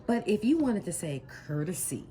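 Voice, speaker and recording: Lively woman teaching, animated pitch. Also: crowd noise. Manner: encouraging, emphatic, drawn-out key words. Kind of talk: spoken lesson, questioning a student.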